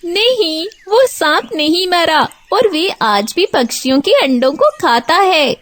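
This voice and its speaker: Mother bird for kids' cartoon female performer: worried, tender, pleading, very high, lively intonation. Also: takes it slowly, heavily acted. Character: anxious, loving adoptive mother crow. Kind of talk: children's cartoon story acting.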